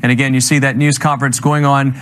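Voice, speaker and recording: A man anchoring a live breaking news report, speaking haltingly with many fillers and restarts. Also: medium pitch, level tone.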